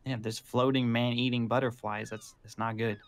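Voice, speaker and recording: Male survivor, zombie roleplay game, played by a man. Medium pitch, conversational, inquisitive and reassuring. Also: flat delivery, normal speed, lightly acted. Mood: puzzled, curious.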